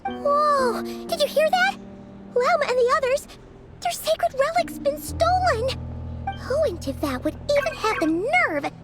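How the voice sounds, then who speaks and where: A woman voicing a small, childlike fairy companion in a video game, squeaky, excitable and chatty, full of exclamations. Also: measured pace, loud music behind the voice, full character voice. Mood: surprised, indignant. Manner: exclamatory, speaks in third person. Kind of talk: animated video game voice acting.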